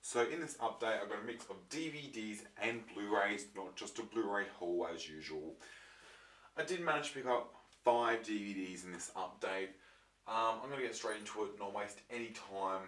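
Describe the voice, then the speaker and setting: A young man with a croaky voice from a throat infection, chatting casually to his viewers with lots of ums and restarts. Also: lowish voice, moderate pace, a bit bright. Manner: rambling, many ums and restarts. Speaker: vlogger showing his disc collection. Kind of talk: casual chat to camera. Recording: roomy, mic far off, faint noise.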